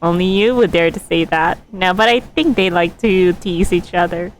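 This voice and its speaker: A woman's voice: fairly low pitch, moderate pace, low-quality recording. A woman livestreaming a game with casual commentary, musing and thinking aloud as she reacts. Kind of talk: casual gameplay commentary, reacting to story dialogue. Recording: background game ambience and noise.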